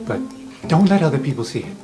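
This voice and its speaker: A game master plays a weary figure of palace authority in a fantasy tabletop game, exasperated yet indulgent. The voice sits at a middling pitch and sighs theatrically, with faint music behind it. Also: big pitch swings, fast talker, natural voice.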